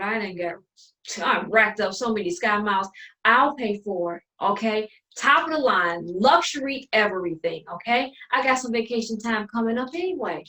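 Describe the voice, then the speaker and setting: Woman acting bossy practical sister, exasperated, sassy, lively swooping pitch, fairly high, stage drama, echoey room. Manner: sassy, emphatic, colloquial. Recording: roomy, distant from the mic.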